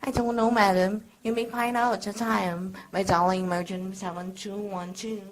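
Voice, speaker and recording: A woman acting a brisk, impassive telephone operator in a radio drama, with formal, sing-song announcing. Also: medium pitch, steady tone, lightly acted, rough recording. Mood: businesslike. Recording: loud noise with clicks.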